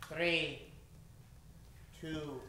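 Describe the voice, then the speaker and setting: A man hosts and narrates an old time radio drama. His delivery is slow, halting and suspenseful. The room echoes heavily, with clear noise behind him. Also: on the high side, steady tone. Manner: theatrical, suspenseful, halting phrasing. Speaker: radio show host and narrator.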